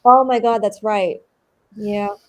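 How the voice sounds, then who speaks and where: A woman chatting casually over a livestream video call, with a creaky, husky voice. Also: mid-range voice, fairly even intonation, slow pace.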